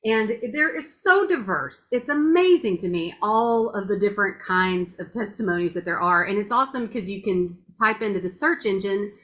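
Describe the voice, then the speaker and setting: A woman's voice: medium pitch, animated, normal speed, hardly any pauses, a bit bright. A middle-aged woman talking casually in a bubbly, gushing, chatty way. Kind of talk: casual chat.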